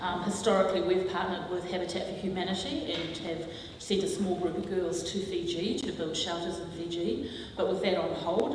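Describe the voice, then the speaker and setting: A woman with a New Zealand accent introduces the next speakers, measured and a little halting. Her voice sits slightly low. She is caught from far off in a large hall with thick echo. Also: darkish tone, slight background noise. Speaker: conference host introducing speakers. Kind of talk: formal introduction.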